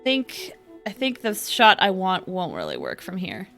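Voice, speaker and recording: A woman with a bright, high voice hosts a casual game stream. Her chatty delivery moves freely up and down as she talks to viewers or mutters to herself, with faint music behind her. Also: a little slow, gravelly. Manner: animated, chatty, muttering to self. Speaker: game livestream host. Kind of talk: casual livestream chat while playing a game.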